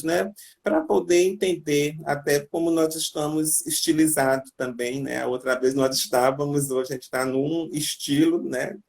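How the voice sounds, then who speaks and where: Man, gravelly voice, fairly high pitch, rambling explainer. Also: darkish tone. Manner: rambling, explanatory, many tag questions. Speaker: tourism host. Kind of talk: casual live-stream explanation.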